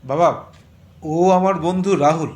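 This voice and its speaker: A man's voice: a little high, slow, darkish tone. A man playing a curious young adult son in an audio drama, casual and inquisitive. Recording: roomy echo with faint noise.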